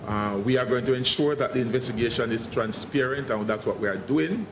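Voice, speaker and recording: A man speaking as a senior police investigator at a press briefing, guarded and formal, often halting and repeating words. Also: medium pitch, echoey room. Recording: noisy online press briefing audio.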